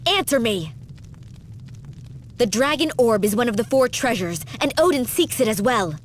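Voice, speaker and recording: A woman's voice: a little high, animated, normal speed, a little hiss or hum, close to an everyday voice. A woman playing a proud, defiant warrior spirit in a fantasy video game, speaking formally and with force. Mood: demanding.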